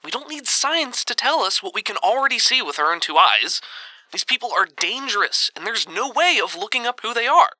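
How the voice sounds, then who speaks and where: A woman's voice: on the high side, sing-song, a little fast, pushed and bright, no echo, rough recording. Piercing, alarmist woman acting as female pundit. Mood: scornful, insistent. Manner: insistent, scornful, rhetorical. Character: alarmist, prejudiced talk show pundit railing against mutants. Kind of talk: heated broadcast debate acting.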